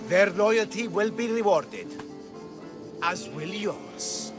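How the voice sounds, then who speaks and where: An actor playing a flamboyant, pompous man who proclaims himself a god, with theatrical swells and pauses, in a video game cutscene. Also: sits high, over music. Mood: exultant, proclaiming. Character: flamboyant self-proclaimed god, grandiose eccentric man with devoted followers.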